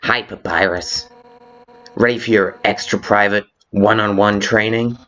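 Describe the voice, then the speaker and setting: Game fan dub: brash female fish-warrior played by a man, emphatic, sarcastic, slower delivery, dull lo-fi sound. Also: fairly low pitch, steady tone, sharp tone. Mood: guarded, awkward. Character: fierce, brash female fish-warrior monster, grudgingly hospitable. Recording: muffled lo-fi audio with background music.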